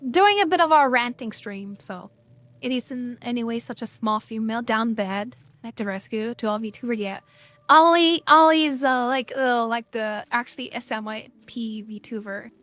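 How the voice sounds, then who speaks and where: A woman chats on her stream, rambling and gushing with plenty of fillers. Her voice sits a little high and is starting to wear out from yelling. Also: normal speed, no echo. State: voice getting worn from yelling. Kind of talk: casual stream chat.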